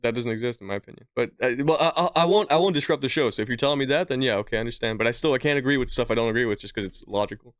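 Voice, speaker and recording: A man's voice: medium pitch, very fast, hardly any pauses, dry recording, studio quality. A young man from Hungary living in Quebec, co-hosting a podcast in a casual, slangy, argumentative way. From born in Hungary, lives in Quebec. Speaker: podcast co-host. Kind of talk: casual, joking podcast debate.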